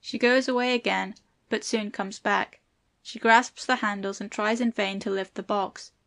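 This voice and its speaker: A woman's voice: medium pitch, steady tone, moderate pace, creaky, fairly bright tone. A woman reading stage directions as the narrator of an audiobook play.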